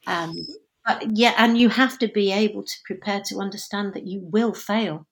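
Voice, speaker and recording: A woman's voice: mid-range voice, moderate pace, low-quality recording. A woman actor being interviewed, talking in a chatty way, laughing often and correcting herself. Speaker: actor and dancer guest. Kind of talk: conversational interview.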